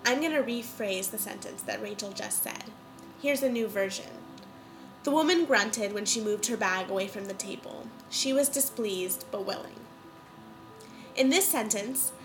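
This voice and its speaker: Bright-voiced congested woman host. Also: medium pitch, sing-song, moderate pace, soft background music, low-quality recording. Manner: chatty, explains clearly. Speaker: podcast host. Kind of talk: casual storytelling and explanation. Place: indoors.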